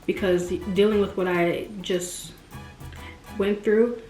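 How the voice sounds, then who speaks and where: A woman in her twenties chatting casually and expressively to her viewers, jumping up in pitch when she stresses a point. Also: steady tone, slow pace, a little mellow. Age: 20s. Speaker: vlogger. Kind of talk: casual direct-to-camera chat. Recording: roomy echo with faint music.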